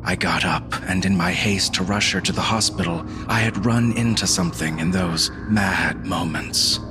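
A man playing a haunted husband who narrates a supernatural horror story in a grave, suspenseful, deliberate way. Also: deep voice, close to an everyday voice. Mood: somber, bitter. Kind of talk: dramatic scripted narration. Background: music.

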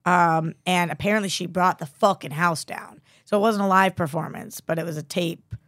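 A young adult woman co-hosting a casual entertainment chat show, excited and sarcastic, who swears freely. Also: fairly low pitch, moderate pace, no room sound, studio quality. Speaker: co-host.

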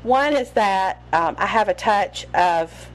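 A woman's voice: medium pitch, expressive, takes it slowly, low-quality recording. A woman with a Southern American accent, co-hosting a podcast in casual chat, with chest congestion and a drawling, storytelling way of talking. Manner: drawling, storytelling, drops final g's. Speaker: podcast co-host.

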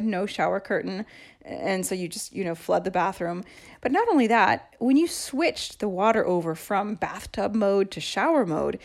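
Chatty woman telling laughing anecdotes. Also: medium pitch. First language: English. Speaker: podcast host. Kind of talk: casual storytelling monologue.

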